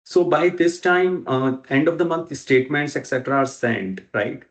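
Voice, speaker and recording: A man in a work meeting asking questions and checking his understanding, halting as he goes. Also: on the high side, expressive. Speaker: interviewer documenting a work process. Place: recorded online work meeting.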